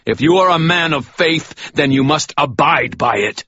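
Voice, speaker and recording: A man voicing a stern middle-aged holy warrior who preaches loudly and righteously. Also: a little high, lively intonation, a little slow, husky, dry recording, dull audio, pitched above the performer's own voice, exaggerated voice. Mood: righteous fury.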